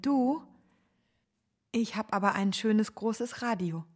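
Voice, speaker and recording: An actress playing a resolute young widow, proud, tender and plainspoken, in a radio drama. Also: female voice, medium pitch, slow, a little mellow. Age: young adult.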